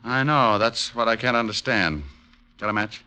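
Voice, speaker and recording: Breezy, punchy-voiced American private eye. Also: male voice, lowish voice, normal speed, pushed and bright, clear, resonant tone. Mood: casual, flirtatious. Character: breezy, flirtatious wisecracking male New York private detective. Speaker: radio actor. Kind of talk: 1940s-50s radio detective drama acting. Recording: hissy old radio recording with clicks.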